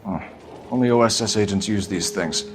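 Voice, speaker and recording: An actor playing a serious, composed police detective, speaking with earnest authority in a video game. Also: male voice, fairly low pitch, a little fast, music in the background, close to an everyday voice. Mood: focused.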